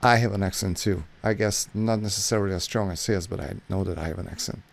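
A man in his thirties from Hungary with a Hungarian accent, chatting excitedly and correcting himself as he reacts to an old film at a studio mic. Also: sits low, fast talker, creaky. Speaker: movie reaction host. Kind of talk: casual reaction commentary. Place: small dark home studio. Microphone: studio mic on boom arm, headphones.

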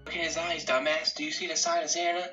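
Man doing a bossy nerd teen boy, muffled, far off mic, loud music behind. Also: on the high side, little pitch movement, fast talker. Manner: earnest and bossy, swears. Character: nerdy teen boy genius, bossy and driven. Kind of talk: fan abridged parody dub.

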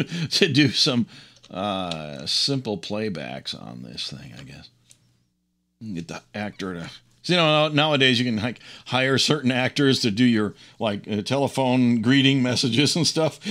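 Middle-aged man with very animated pitch. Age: middle-aged or older. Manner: rambling, enthusiastic, chuckles often. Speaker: hobbyist host of a teardown video. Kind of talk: casual unscripted hands-on commentary. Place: indoors at a workbench.

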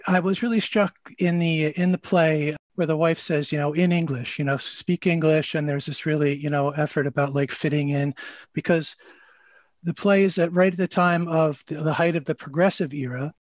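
A man speaking as a historian on a panel, explaining in a halting, thoughtful, scholarly way over a video call. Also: on the high side, steady tone, no echo. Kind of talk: unscripted panel discussion, explanatory. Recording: dull, lo-fi video-call audio.

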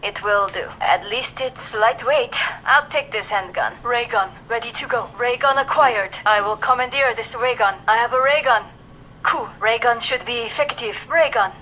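An actress playing a tough, confident special forces soldier with a Korean accent, in clipped, terse video game combat lines. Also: female voice, on the high side, moderate pace, sharp tone, a little hiss or hum. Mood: cool, businesslike. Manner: clipped, terse military delivery.

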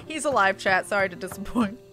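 A woman's voice: medium pitch, animated, unhurried, bright, forceful tone. A woman giving casual gameplay commentary as a streamer. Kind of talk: casual gameplay commentary, reading dialogue aloud. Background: game music.

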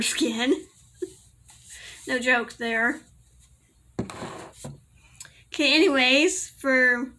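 A young woman in a casual home vlog, rambling and giggling as she corrects herself, her voice a little raspy from allergies. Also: high-pitched, sharp tone. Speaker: vlogger. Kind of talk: casual product review to camera. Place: small bedroom indoors.

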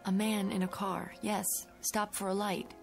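A woman's voice: medium pitch, flat delivery, unhurried, gravelly. A woman playing a hesitant, proper, defensive schoolteacher in a radio drama. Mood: rattled. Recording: music under the voice.

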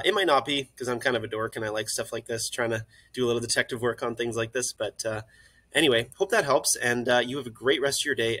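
A young man who runs a hot tub shop, talking casually at his computer through a screen-share tutorial with lots of "uh" fillers. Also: mid-range voice. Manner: relaxed and friendly, many "uh" fillers. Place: indoors, recording at a computer.

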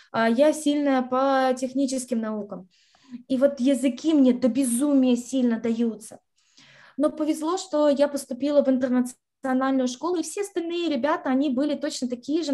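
A young woman from Russia, an interview guest on a video call from home, telling lively stories and slipping in English words. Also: high-pitched. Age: young adult. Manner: lively storyteller, acts out quotes, mixes in English words. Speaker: interview guest, university graduate. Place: bright room at home.

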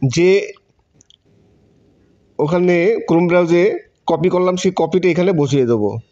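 A man's voice: high-pitched, unhurried, dark tone. A Bengali man giving step-by-step tutorial narration in a repetitive, instructional manner. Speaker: tutorial presenter. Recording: dull, muffled lo-fi voice-over.

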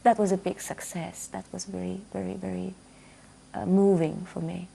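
Gravelly-voiced woman in a studio interview. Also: fairly low pitch, level tone, moderate pace, warm tone, a little hiss or hum. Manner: thoughtful, repeats words while searching for phrasing. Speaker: musician guest. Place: dark television studio, seated.

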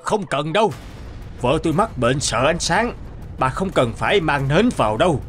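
An animated, insistent, secretive husband. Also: male voice, a little high, normal speed. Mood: commanding. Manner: commanding, urgent, insistent. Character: stern, secretive mustached husband of a fairy household. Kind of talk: animated fairy-tale dubbing. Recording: background music under the voice.

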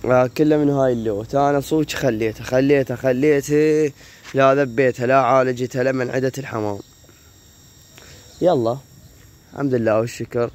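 A hoarse man with an Iraqi Arabic accent, chatting casually to viewers while filming his rooftop pigeon loft on a phone. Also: medium pitch, steady tone, a little fast, pauses often, a little mellow. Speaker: pigeon keeper vlogger. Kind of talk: casual vlog. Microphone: phone camera held while walking.

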